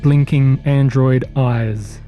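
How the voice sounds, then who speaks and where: Mellow male horror game master. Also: mid-range voice, steady tone, takes it slowly. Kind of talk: narrating a tabletop horror role-playing game. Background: faint music.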